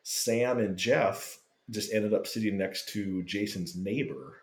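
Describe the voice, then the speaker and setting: Low male storyteller, casual and profane, roomy podcast mic. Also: takes it slowly. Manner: animated, swearing, acts out quotes. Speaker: podcast guest telling a fan story. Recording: roomy echo.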